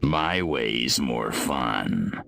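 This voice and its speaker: A man voicing a cocky, trigger-happy alien invader in a video game, gruff, sardonic and laconic, with a gravelly voice. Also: very deep voice, lively intonation, very slow, sharp tone, a little hiss or hum, exaggerated voice. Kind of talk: video game character acting.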